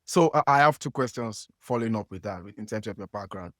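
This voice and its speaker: A man's voice: on the low side, lively intonation, quick pace, fairly bright tone, no echo, studio quality. A man from Nigeria with a Nigerian accent, hosting a podcast interview and asking long questions with many fillers and restarts. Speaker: podcast host. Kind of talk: conversational interview.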